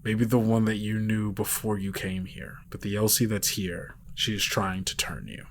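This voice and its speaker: A man playing a grave guide warning a child, speaking softly and slipping into near whispers in a tabletop game. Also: lowish voice, a little hiss or hum, lightly acted. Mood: grave, persuading. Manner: soft, measured, half-whispered.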